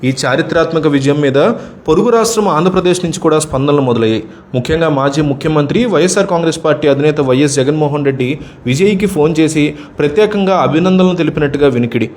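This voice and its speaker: A man's voice: fairly high pitch, quick pace, darkish tone. A man from or reads a scripted news commentary as a voice-over narrator.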